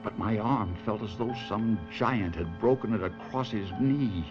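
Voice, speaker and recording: An actor playing an anguished stage performer and husband in an old-time radio drama, husky and theatrical. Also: male voice, lowish voice, a little slow, music in the background, natural voice. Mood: haunted narration. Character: despairing, tormented middle-aged stage ventriloquist husband. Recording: muffled vintage radio drama recording.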